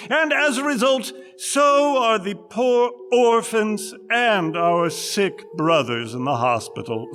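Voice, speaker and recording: Swooping, flattering con-man showman. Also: high voice, slow, big-room sound, soft background music, heavily acted. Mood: grandiose. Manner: florid, theatrical, oily salesmanship. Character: Montiel, flattering, grandiose con-man showman with a puppet show. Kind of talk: stage-style reading of a classical comic play.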